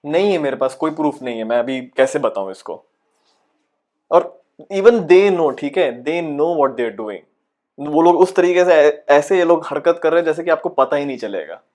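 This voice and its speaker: A man's voice: a little high, animated, normal speed, darkish tone, close to an everyday voice. A man playing a frustrated, paranoid aspiring actor who rants insistently in a dramatic monologue. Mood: defensive, helpless. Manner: exasperated, ranting, insistent, with rhetorical questions. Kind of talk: dramatic comic audition monologue in Hindi-English mix.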